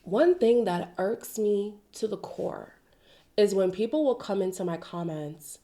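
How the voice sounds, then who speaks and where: A woman from Zimbabwe who is sick, hosting a casual pop-culture commentary show on her own, chatty and expressive, with side tangents. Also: mid-range voice, unhurried. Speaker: commentary channel host.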